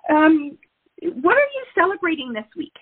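A woman's voice: very high-pitched, very animated, normal speed, narrow, tinny audio. Affirming woman host, phone-thin sound. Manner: warmly agreeable, affirming. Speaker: podcast host. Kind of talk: casual interview chat.

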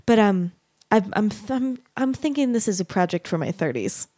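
A woman in her thirties hosting an interview, playful and quick to laugh. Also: mid-range voice, big pitch swings, mellow, no echo, studio quality. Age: 30s. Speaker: podcast host. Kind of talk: casual interview chat.

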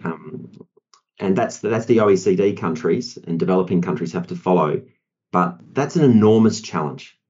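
A man's voice: deep voice, moderate pace, darkish tone, breathy edge, muffled recording. A man in his forties with an Australian accent, a guest on a podcast, talking with many ums and you-knows. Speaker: law firm partner, guest. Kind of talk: podcast discussion.

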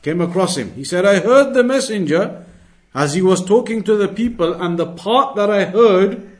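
High-pitched man teaching a religious lesson. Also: expressive, moderate pace. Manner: emphatic, repeats and rephrases phrases for clarity. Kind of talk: Islamic lesson, reading and explaining narrations.

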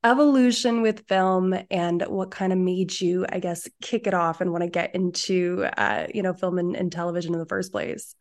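A woman's voice: lowish voice, steady tone, moderate pace, hardly any pauses. A woman hosting an interview, asking loose, rambling questions full of ums and restarts. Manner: rambling, hesitant questions with many fillers. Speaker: podcast host, interviewer. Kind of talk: casual interview conversation.

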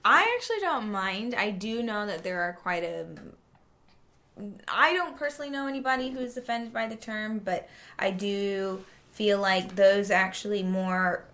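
A young woman from Japan talks as a guest in a casual conversation. Her voice sits at a middle pitch. She is animated, slipping into imitations of people when she quotes them. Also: unhurried. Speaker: interview guest.

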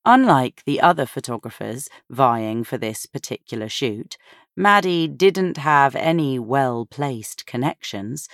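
A woman narrating a novel in a measured, expressive storytelling manner. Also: extremely low pitch, dry recording, clean studio sound. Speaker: audiobook narrator.

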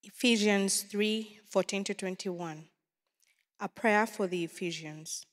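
Woman reading scripture in a big hall. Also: medium pitch. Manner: slow, measured reading. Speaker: scripture reader. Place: large church hall. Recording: distant voice with long hall reverb.

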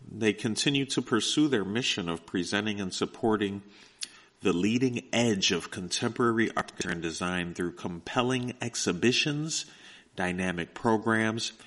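A man hosting, reading out event announcements in a slow, relaxed way with many fillers. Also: low-pitched. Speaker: radio host. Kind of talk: casual announcements.

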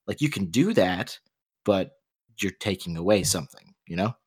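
A man's voice: deep voice, expressive, moderate pace, darkish tone, husky. A man co-hosting a casual podcast discussion, arguing and explaining his points, his voice a bit off from being sick. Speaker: podcast co-host.

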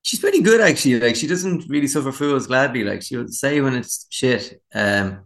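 A man's voice: medium pitch, lively intonation, normal speed, fairly bright tone. An Irish man, a musician being interviewed, chatting casually in a candid, rambling, self-deprecating way. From Dublin, Ireland. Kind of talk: casual chat.

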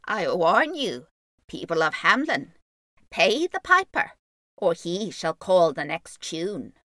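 Woman voicing an eerie, raspy magpie piper man. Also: lowish voice, very animated, sharp tone, no echo, clean studio sound, heavily acted. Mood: menacing warning. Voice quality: raspy, chittering. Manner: slow, deliberate, theatrical. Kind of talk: storybook character voice.

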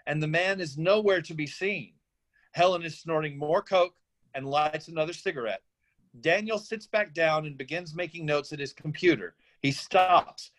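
A man's voice: a little high, fairly bright tone. A man narrating a screenplay, reading out stage directions as scripted narration.

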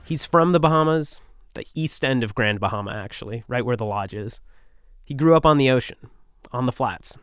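A man in his twenties, a podcast host, narrating a scripted story in a measured way. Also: mid-range voice, lively intonation, slight background noise. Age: 20s.

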